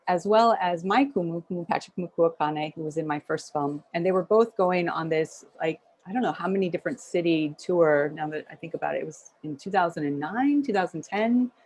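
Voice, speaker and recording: A woman's voice: fairly low pitch, moderate pace, a little mellow, low-quality recording. A woman documentary filmmaker answering interview questions in a thoughtful way with many fillers.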